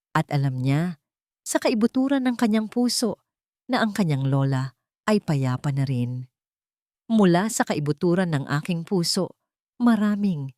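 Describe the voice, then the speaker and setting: Low-pitched woman storyteller, widely varied intonation. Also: a little mellow, no room sound, studio quality. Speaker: storyteller, host of an audio story channel. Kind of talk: scripted dramatic story narration.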